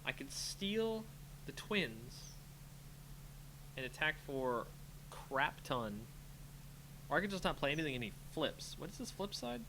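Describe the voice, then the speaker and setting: A man's voice: on the high side, sing-song, measured pace, pauses often, bright, forceful tone, a little hiss or hum, rough recording. A man giving casual live commentary on a game he is playing, talking to himself playfully as he thinks. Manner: muttering to himself, self-talking, playful sing-song filler. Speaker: host of a card game channel, playing.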